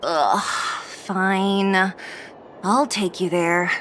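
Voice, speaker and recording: A woman's voice: mid-range voice, very slow, fairly bright tone, slight background noise, low-quality recording. An actress playing a tough, blunt young woman in a video game, casual and dryly reluctant. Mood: reluctant, uneasy. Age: young adult. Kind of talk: video game dialogue acting.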